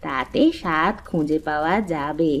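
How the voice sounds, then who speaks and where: Woman acting gentle older cartoon village aunt. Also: low voice, steady tone, normal speed. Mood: sage. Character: kindly, homely older village aunt who cooks.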